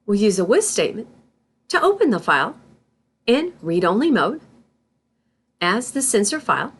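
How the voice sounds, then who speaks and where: A woman narrating a scripted tutorial as an instructor, in a deliberate, instructional manner. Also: medium pitch, big pitch swings, husky. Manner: deliberate, instructional, spells out terms letter by letter. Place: voice-over for a screen recording.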